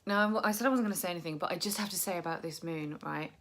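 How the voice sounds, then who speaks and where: A young woman chatting casually to camera about her tarot decks, tired and croaky, rambling and poking fun at herself. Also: fairly low pitch, rapid-fire. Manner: chatty, self-deprecating, rambling. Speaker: tarot vlogger.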